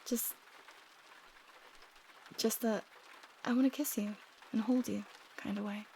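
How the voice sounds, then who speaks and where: A woman playing an affectionate, shyly teasing young roommate in an intimate ASMR roleplay, speaking softly and often dropping to a whisper. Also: on the high side, fairly even intonation, slow pace, a little hiss or hum. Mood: nervous, earnest.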